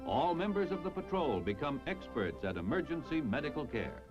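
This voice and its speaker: A man's voice: fairly high pitch, sing-song, normal speed, lo-fi sound. An American man narrating a mid-century military newsreel in a jaunty, polished announcer's delivery over music on an old film soundtrack. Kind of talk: 1950s-60s military newsreel narration, scripted.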